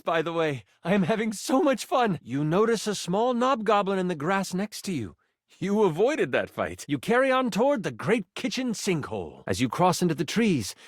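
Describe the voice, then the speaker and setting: Animated, theatrical male game master. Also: high-pitched, no room sound, clean studio sound. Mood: upbeat, friendly, then excited narrating. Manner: expressive, theatrical storyteller. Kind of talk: dramatic tabletop fantasy narration, video game voice acting.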